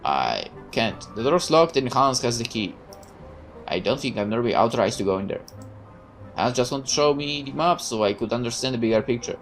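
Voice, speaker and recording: A woman reading aloud as a naive young male soldier in a visual novel, speaking low and hesitantly. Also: big pitch swings, fairly quick, faint music behind, exaggerated voice.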